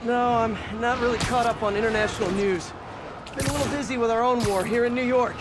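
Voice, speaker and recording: A man's voice: extremely high pitch, steady tone, fairly quick, noisy background. An American man playing a wisecracking, chatty young superhero in a video game cutscene. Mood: easygoing banter. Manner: quippy, playful, chatty. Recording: loud background music.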